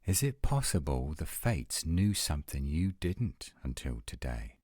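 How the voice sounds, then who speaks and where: Very deep-voiced man acting a steady werewolf lover. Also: a little slow, no room sound, clean studio sound, exaggerated voice. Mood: reassuring. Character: adult male werewolf, steady reassuring lover. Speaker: voice actor. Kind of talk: romantic roleplay audio acting.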